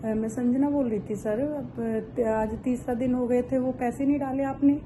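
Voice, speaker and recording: A woman's voice: on the high side, fairly even intonation, normal speed, background noise, natural voice. A woman playing an aggrieved, guilt-tripping shopkeeper on a prank call, plaintive, insistent and a little hesitant. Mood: polite, insistent. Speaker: prank caller. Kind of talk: improvised prank phone call.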